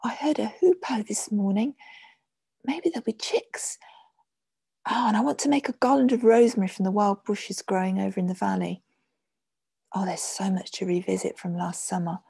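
A woman voicing an excited, exuberant young Roman mistress with breathy, eager exclamations in a storybook reading. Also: lowish voice, brisk, few pauses, dry recording, studio quality, exaggerated voice. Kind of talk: audiobook character voice.

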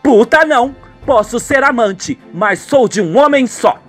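A man's voice: very high-pitched, animated, unhurried, faint music behind, voice pushed up, exaggerated voice. A man playing a defiant, indignant governess and mistress in a radio soap opera.

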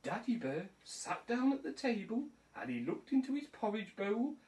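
Woman as a slightly distant, lively teddy storyteller. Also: mid-range voice, normal speed, lightly acted. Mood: genial. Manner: conversational, jokey. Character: genial teddy bear storyteller. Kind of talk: comic storybook reading in a puppet show.

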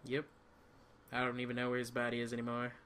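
A man's voice: medium pitch, completely flat delivery, quick pace, fairly bright tone, clean tone. A man chatting casually and jokingly as he plays a co-op video game. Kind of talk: casual co-op gameplay chat.

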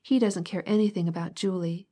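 A woman's voice: on the low side, steady tone, a little fast, warm tone, slightly rough. A woman voicing a merry, comforting young girl.

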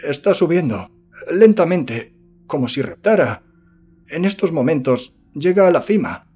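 Man acting as panicked rustic villager, horror audiobook, raised pitch, husky, crying out in dread. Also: sing-song, a little slow, warm tone, faint music behind, exaggerated voice. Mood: terrified, awestruck. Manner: panicked, exclaiming. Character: terrified rural villager man crying out at a monster.

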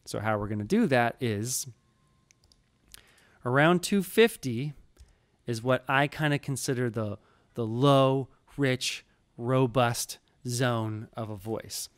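A man's voice: mid-range voice, slow pace. Laid-back man explaining studio steps. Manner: relaxed, explanatory, conversational. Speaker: audio engineer teaching. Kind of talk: screen-recorded tutorial. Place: small home studio. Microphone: studio mic in frame, wearing headphones.

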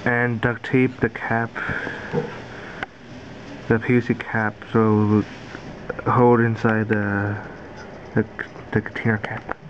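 A man giving a casual DIY tutorial in halting, repetitive, simple phrases, recorded indoors on a handheld camera's built-in mic. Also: fairly low pitch. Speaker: amateur maker demonstrating a homemade gadget. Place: carpeted room indoors. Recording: dull lo-fi camera audio, steady noise.

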